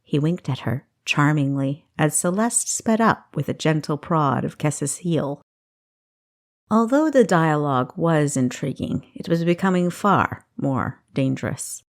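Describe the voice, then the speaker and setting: A woman narrating fiction as a scripted, expressive audiobook read. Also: sits low, measured pace.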